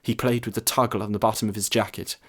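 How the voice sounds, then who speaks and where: A man's voice: on the low side, level tone, fast talker, fairly bright tone. A man reading a short story as narrator, in scripted narration.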